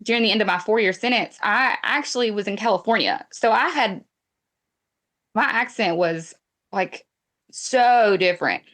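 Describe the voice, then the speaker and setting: A woman's voice: mid-range voice, moderate pace, a bit bright. A woman from Mississippi with a Southern accent, a reality TV guest talking candidly and conversationally in an interview, with frequent restarts. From Mississippi, USA. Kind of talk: casual interview chat.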